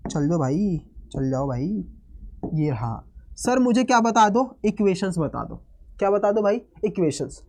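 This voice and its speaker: Hoarse guy with a cold teaching a maths class, hindi mixed with english, super energetic, keeps asking the kids if they're with him. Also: high-pitched. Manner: energetic, keeps checking in with students; mixes Hindi with English phrases. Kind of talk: online exam-prep lesson.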